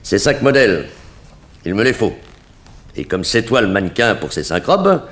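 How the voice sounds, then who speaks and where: An actor playing a calm, coercive and sardonic blackmailer in a 1960s French radio crime drama. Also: male voice, lowish voice, moderate pace. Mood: brisk, businesslike. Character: menacing male blackmailer, smooth crook in haute couture.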